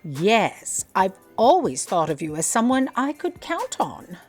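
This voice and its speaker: A woman's voice: medium pitch, huge pitch swings, a little slow, over music. A woman playing a haughty, forgetful editor-in-chief in a comedic audio drama, speaking formally and imperiously. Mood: graciously formal. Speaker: voice actor.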